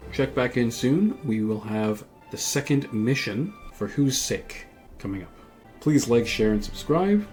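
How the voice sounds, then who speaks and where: A man's voice: medium pitch, warm tone. A man hosting a gaming video, giving casual strategy commentary and explaining his choices as he thinks aloud. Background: music behind the voice.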